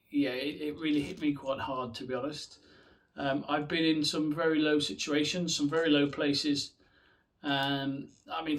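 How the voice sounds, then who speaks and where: A man's voice: fairly high pitch, distant mic. A man in his forties sharing a candid personal story, hesitant with many restarts and fillers. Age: 40s. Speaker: charity supporter.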